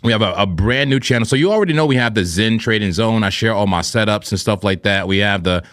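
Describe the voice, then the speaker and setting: A man co-hosting a live trading stream, with a smooth radio-style voice, talking in a playful, animated way and often clearing his throat. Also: fairly low pitch, fast talker, dry recording, clean studio sound. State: throat irritated from vaping. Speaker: co-host of a crypto trading stream. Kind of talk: casual live-stream banter.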